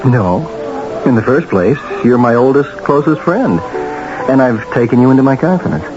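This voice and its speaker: An American actor playing a self-assured, calculating friend, coaxing and deliberate, in a radio drama. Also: male voice, mid-range voice, lively intonation, natural voice. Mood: calmly sinister. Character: smooth, calculating old friend, calmly tempting toward murder. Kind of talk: 1970s radio drama acting. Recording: muffled old radio broadcast, music underneath.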